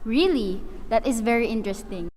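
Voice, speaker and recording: Highish-voiced practical mother played by a woman. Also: lively intonation, fairly quick, darkish tone, natural voice. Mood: polite, puzzled. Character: practical middle-aged mother and lady of the house. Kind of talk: amateur radio play acting. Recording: faint background noise.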